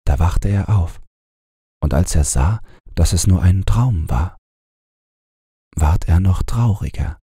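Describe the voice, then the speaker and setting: A man narrating a bedtime fairy tale as an audiobook reader, in a gentle, soothing, measured manner. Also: sits low, expressive, slightly rough, dry recording, clean studio sound.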